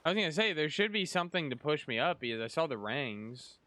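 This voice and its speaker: A man's voice: on the high side, very animated, fast talker, pushed and bright, no echo. A young man giving casual gameplay commentary as a streamer, rambling and thinking aloud as he plays. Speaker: gaming streamer.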